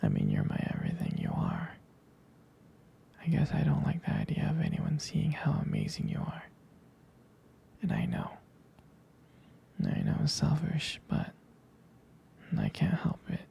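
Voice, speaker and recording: A man playing a jealous, protective, devoted young boyfriend in an intimate ASMR roleplay, whispering hesitantly in a breathy, husky voice. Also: fairly high pitch, wildly swooping pitch, heavily acted. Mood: tender, protective. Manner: hesitant, slow, halting, soft-spoken.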